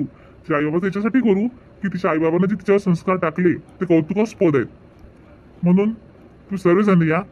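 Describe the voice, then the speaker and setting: A man's voice: high voice, darkish tone, lo-fi sound, lightly acted. A man voicing an earnest, community-minded village headman in a cartoon, speaking folksy, repetitive rural Vidarbha Marathi like someone making a little speech. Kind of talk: hobby cartoon voice-over, village dialogue.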